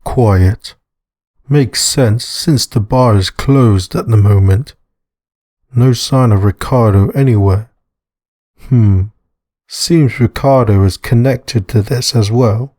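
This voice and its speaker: Nervous male amateur detective, played by a man, medium pitch, hesitant muttering, visual novel. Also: slow, warm tone, no room sound, studio quality. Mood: pensive. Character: Dag, nervous male amateur sleuth thinking aloud.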